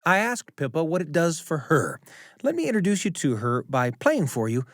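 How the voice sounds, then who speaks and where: Animated male radio host, dry studio sound. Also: a little high, normal speed, no room sound. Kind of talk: scripted storytelling-show introduction.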